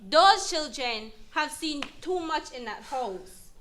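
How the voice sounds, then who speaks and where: A woman's voice: sits high, animated, slow, a bit bright, full character voice. A woman playing an earnest, emphatic advocate who counsels an abused mother in an educational dramatization. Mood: earnest, firm. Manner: emphatic, instructive. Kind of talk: educational dramatization, deliberate explanatory delivery.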